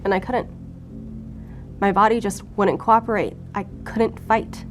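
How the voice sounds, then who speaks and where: A woman's voice: medium pitch, steady tone, unhurried, faint music behind, close to an everyday voice. Woman acting a guarded, sardonic federal agent. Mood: bleak, pained. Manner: sardonic, defiant. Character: wounded, guarded female FBI agent recounting trauma. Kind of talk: audio drama acting, confessional.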